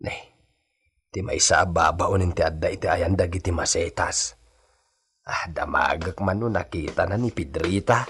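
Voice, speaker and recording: A man's voice: low voice, moderate pace, fairly bright tone, husky, full character voice. A man with a Filipino accent playing a timid old villa servant in a comic radio drama, stammering hesitantly. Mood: searching, calling out.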